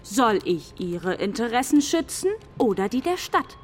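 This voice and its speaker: A woman's voice: on the high side, sing-song, a little slow, slight background noise. A woman playing a stern, curt female immigration office caseworker in a radio play. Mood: cold, dismissive. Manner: cold, curt, bureaucratic.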